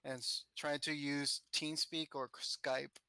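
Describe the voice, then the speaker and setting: A man in his twenties chatting casually with fellow players over voice chat, in a relaxed, halting way. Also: fairly high pitch, clear, resonant tone, dry recording. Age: 20s. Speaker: raid member on voice chat.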